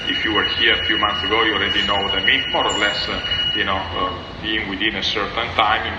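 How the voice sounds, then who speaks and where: A low-voiced man addresses his crew as a ship's captain. He speaks haltingly in English, searching for words. The sound is very reverberant, with clear noise over the announcement. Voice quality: non-native English phrasing. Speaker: cruise ship captain. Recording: echoey, noisy recording of a PA announcement.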